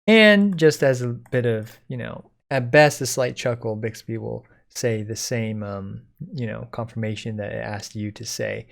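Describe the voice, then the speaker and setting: A man giving a casual software code-along, thinking aloud informally as he types. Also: medium pitch, a little slow, vocal fry, few pauses. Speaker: software tutorial instructor.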